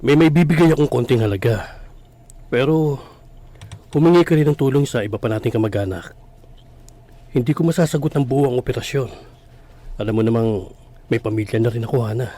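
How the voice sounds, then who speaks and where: A man's voice: mid-range voice, fairly quick, long pauses, dark tone, close to an everyday voice. An actor playing a burdened male relative who helps reluctantly, in a radio drama.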